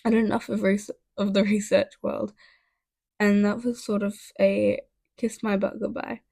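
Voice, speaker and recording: A defensive science teacher from a storybook, a man read by a woman. The delivery is conversational and indignant, with wry edges. The voice is creaky and closely recorded without room sound. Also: medium pitch, little pitch movement, normal speed, mellow, dry recording, clean studio sound.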